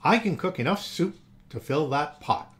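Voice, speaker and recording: A man's voice: a little high, expressive, normal speed, fairly bright tone, slight background noise, pitched above the performer's own voice. A man doing a plucky young duck girl. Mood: coaxing.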